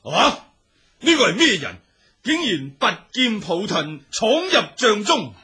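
A commanding ancient Chinese general in a radio drama. He declaims in a husky, forceful voice, proud and imperious, with lively swings of pitch. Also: unhurried, exaggerated voice. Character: proud, commanding male warlord general, indecisive underneath.

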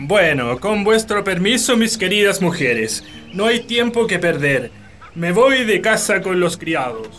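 A fond, middle-aged gentleman in a radio drama. He speaks slowly, in a florid and courtly way. Soft music plays underneath. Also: high voice, sing-song, exaggerated voice. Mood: jovial. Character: jovial, flowery middle-aged gentleman landowner, fond husband and father.

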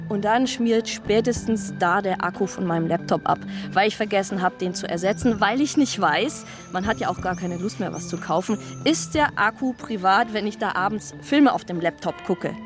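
A woman's voice: medium pitch, moderate pace, hardly any pauses, close to an everyday voice. An actress playing a chatty woman who rambles and keeps digressing, in a radio drama monologue. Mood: rambling. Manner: rambling, digressive, self-interrupting. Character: chatty woman rambling about her tax return. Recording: radio drama with clear music behind.

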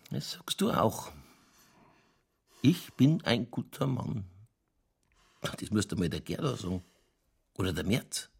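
Gravelly, husky, tipsy Bavarian police chief. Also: male voice, medium pitch, darkish tone, exaggerated voice. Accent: Lower Bavarian. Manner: rambling, folksy, crude, tipsy. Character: drunken, ranting, world-weary Bavarian police chief. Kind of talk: naturalistic radio crime drama dialogue.

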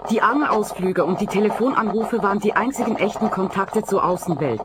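A woman's voice: medium pitch, fairly quick, heavy background noise, close to an everyday voice. An actress playing a guarded, insistent woman entangled in a crime in a detective radio play, earnest and defensive.

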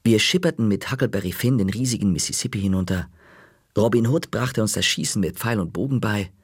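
A man reading a children's book aloud in the first person, with lively storytelling. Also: lowish voice, brisk, husky, no room sound, studio quality. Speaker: audiobook narrator.

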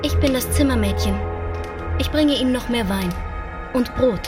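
An actress playing a chambermaid in a radio play who speaks in flat, repetitive, eerily polite phrases. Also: female voice, a little high, normal speed, music in the background, close to an everyday voice. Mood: eerie. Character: eerie polite young chambermaid.

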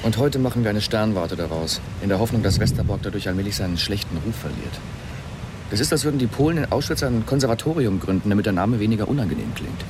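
A man's voice: fairly low pitch, lively intonation, quick pace, noisy background. An actor playing a rational but troubled, bitterly ironic man in a naturalistic radio drama. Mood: bitter, grave.

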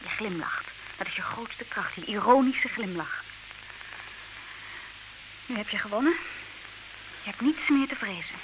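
An actress playing a proud, disillusioned wife in an old radio play, speaking in measured, reproachful, theatrical diction. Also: female voice, mid-range voice, animated, close to an everyday voice. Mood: bitter. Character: disillusioned, proud wife leaving her clever husband. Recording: hissy old radio recording, clear noise.

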